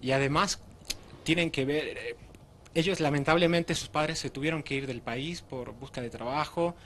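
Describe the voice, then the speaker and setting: A man's voice: on the high side, moderate pace, a bit bright, slight background noise. A young man answering interview questions in a plain-spoken way with many fillers. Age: young adult. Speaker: interviewee, youth group member. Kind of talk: group interview.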